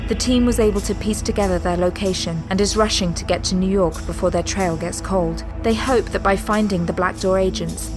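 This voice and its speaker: A woman reading scripted dramatic narration as the narrator of an audio drama. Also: fairly low pitch. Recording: music bed under the voice. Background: music.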